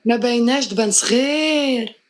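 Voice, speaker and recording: A woman's voice: on the high side, normal speed, background noise. A woman in her twenties from Switzerland chatting playfully on a live stream and slipping between French and Arabic. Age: 20s. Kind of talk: casual live chat.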